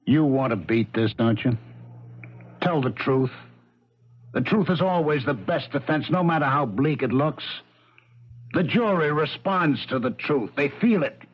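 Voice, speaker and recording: An actor playing a blunt, streetwise police lieutenant in 1970s radio drama, skeptical but sympathetic, with clipped, emphatic phrases. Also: male voice, mid-range voice, expressive, a little slow, breathy edge. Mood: coaxing. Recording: dull 1970s radio broadcast recording.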